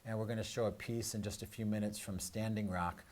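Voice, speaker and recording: Low-voiced man hosting over clear noise. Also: fairly even intonation, a little fast, darkish tone. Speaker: radio show host. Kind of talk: live talk show presenting. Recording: clearly noisy live-stream room sound.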